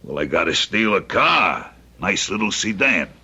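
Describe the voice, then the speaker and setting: A wisecracking crook in an old-time radio drama. The voice is deep, sharp and raspy, with sardonic streetwise gangster talk and wary timing. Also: male voice, very animated, a little slow, heavily acted. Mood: wary, wry. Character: wary, wisecracking young getaway driver, professional crook. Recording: dull old radio recording.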